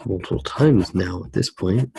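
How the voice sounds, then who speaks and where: A soft-spoken man narrating a drawing in ASMR style, hesitant and pausing mid-sentence. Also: sits low, creaky, slight background noise. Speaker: ASMR art video host.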